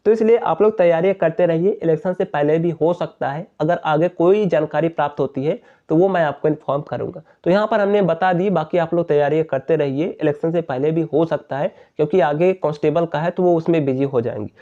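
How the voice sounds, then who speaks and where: A man's voice: fairly high pitch, fairly quick, hardly any pauses, dark tone. A man explains updates to viewers directly and informally, repeating his points, in a muffled lo-fi voice-over. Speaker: exam update channel host.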